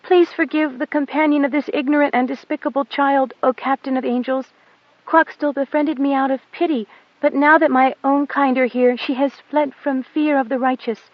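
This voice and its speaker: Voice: sits high, fairly even intonation, moderate pace, no room sound, highs cut off, voice pushed up, lightly acted. Narrator doing a frightened little girl. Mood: fearful pleading. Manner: fearful, pleading. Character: timid, self-abasing young girl.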